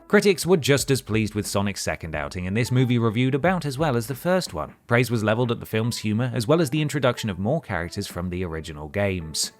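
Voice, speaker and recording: A man hosting a countdown video, reading scripted narration with wry, sarcastic asides and emphatic delivery. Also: mid-range voice.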